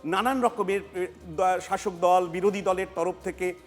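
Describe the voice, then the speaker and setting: A man's voice: high-pitched, steady tone, unhurried. A man giving methodical panel analysis as an academic, often rephrasing his points. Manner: methodical, explanatory, repeats and rephrases. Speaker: professor and political analyst. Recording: reverberant sound with music bed.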